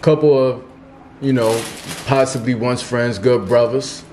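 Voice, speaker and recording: A man's voice: mid-range voice, fairly even intonation, measured pace, darkish tone, slight background noise. A man interviewing a guest, asking questions in an easygoing, slang-filled way.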